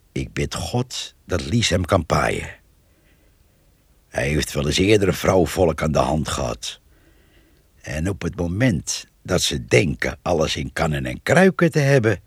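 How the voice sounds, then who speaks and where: Radio drama countryman: very low, husky, slow-musing gossip who savours his local tales. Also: no room sound, full character voice. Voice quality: gravelly, husky.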